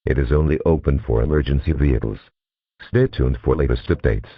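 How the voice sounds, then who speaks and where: Very deep phone-band male alert announcer. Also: fairly quick, slight background noise. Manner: formal, impersonal. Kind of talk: scripted emergency alert announcement. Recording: narrow phone-like alert audio.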